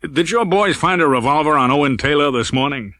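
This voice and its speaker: Guy as a hard-boiled private eye, cool and terse, bright voice, dry audiobook sound. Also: on the high side, lively intonation, brisk, no room sound. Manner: terse, matter-of-fact.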